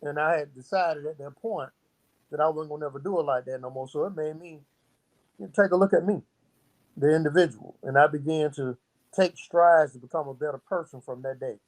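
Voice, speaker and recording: Middle-aged male interviewee, slightly high voice, casual and reflective delivery. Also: a little fast, low-quality recording. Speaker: interview guest, founder of a youth organisation.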